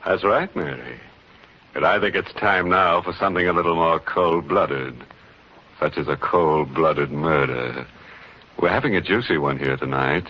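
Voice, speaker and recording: An actor playing a gleefully morbid horror host, narrating theatrically in 1940s radio style. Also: male voice, extremely low pitch, unhurried. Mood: morbidly playful. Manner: theatrical, ghoulishly playful. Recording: very noisy, dull old radio recording.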